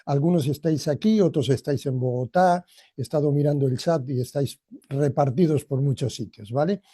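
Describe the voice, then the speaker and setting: A man's voice: on the high side, fairly quick, dark tone, husky, no echo, studio quality. A man gives a motivational training talk in a reflective, personal way, addressing listeners directly. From Argentina, emigrated to Spain as a boy.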